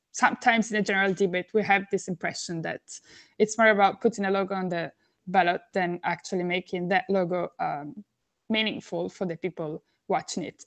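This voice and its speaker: A young woman speaking as a webinar panelist over a video call, earnest and thoughtful as she argues her point. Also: mid-range voice. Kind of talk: casual discussion. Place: indoor room. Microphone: video call webcam.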